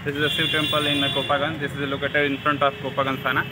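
A young man with an Indian English accent, a student telling a local legend with many pauses and fillers. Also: on the high side, completely flat delivery. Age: young adult. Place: outdoors at a temple ground. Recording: loud outdoor background noise. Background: traffic noise.

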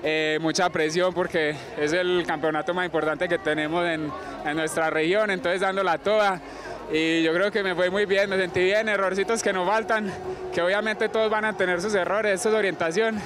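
A young man, giving an energetic post-race interview outdoors on a handheld mic. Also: high voice, moderate pace. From Colombia. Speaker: orienteering athlete. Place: outdoor sports field in a town.

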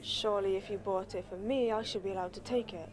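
A woman's voice: medium pitch, fairly even intonation, fast talker, darkish tone, close to an everyday voice. A young woman playing a frustrated grown-up daughter who argues for her independence in radio-play drama. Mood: pleading, impatient. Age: young adult. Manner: exasperated, argumentative. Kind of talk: low-key dramatic radio-play dialogue.

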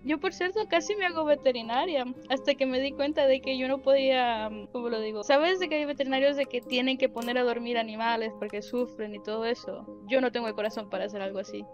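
High-voiced young woman, chatty and giggly. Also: quick pace, few pauses, muffled recording. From Honduras. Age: young adult. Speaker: player, date partner. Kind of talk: casual game roleplay. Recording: game music behind.

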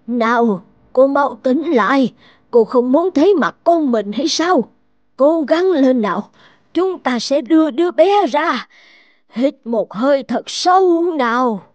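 A woman voicing a kindly, anxious old village midwife in an animated fairy tale, with an earnest, drawn-out delivery. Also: high voice, no echo, highs cut off. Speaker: voice actor.

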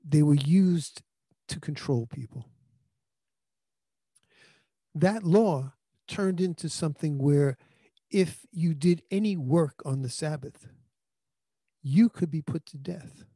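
New pastor preaching into handheld mic. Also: male voice, a little high, slow pace, pauses often, warm tone, husky, no room sound. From New York. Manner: earnest conversational preaching with frequent restarts. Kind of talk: sermon. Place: small indoor room. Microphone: handheld microphone.

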